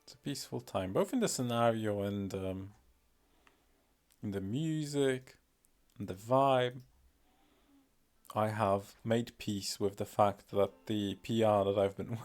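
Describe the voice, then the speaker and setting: Slow, low-voiced Polish-accented man. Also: darkish tone. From Poland. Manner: rambling, self-deprecating, many fillers. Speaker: streamer. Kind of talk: casual live-stream commentary.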